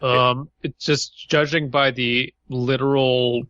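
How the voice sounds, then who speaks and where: A man's voice: mid-range voice, level tone, slow pace, sharp tone, no room sound, highs cut off. A man co-hosting a podcast in casual banter, teasing with mock-formal wording. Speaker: podcast co-host.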